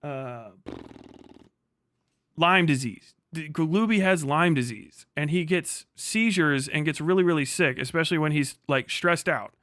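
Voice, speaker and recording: A man's voice: fairly high pitch, unhurried, a bit bright, dry recording. Exasperated man arguing, dry close sound. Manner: exasperated, argumentative, emphatic, rambling. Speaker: streamer reacting to a video. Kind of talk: casual reaction commentary, profane.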